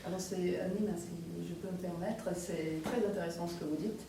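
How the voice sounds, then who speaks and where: A woman's voice: fairly low pitch, steady tone, moderate pace, gravelly. A woman from Croatia, a native Croatian speaker, talking as a translator on a panel, often repeating words as she searches. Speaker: round-table panelist, literary translator. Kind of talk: spontaneous round-table discussion. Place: reverberant room at a round-table event. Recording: very echoey room, distant mic, faint noise.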